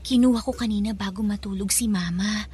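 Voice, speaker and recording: An actress playing a kind, timid young girl in a Filipino radio drama, speaking in a hushed, childlike, earnest way. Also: mid-range voice, steady tone, brisk, husky. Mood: hushed, caring.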